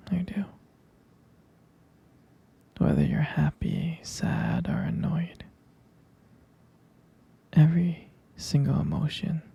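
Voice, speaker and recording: A man murmurs an intimate ASMR roleplay in a very husky, breathy whisper. His delivery is slow and halting, with a gravelly crackle running through it. He sounds tender and adoring, like a romantic partner speaking privately. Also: fairly high pitch, dark tone.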